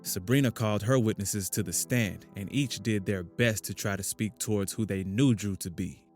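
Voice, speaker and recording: A man narrating an audio drama with an even, storytelling delivery. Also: on the low side, normal speed, soft background music. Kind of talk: scripted narration.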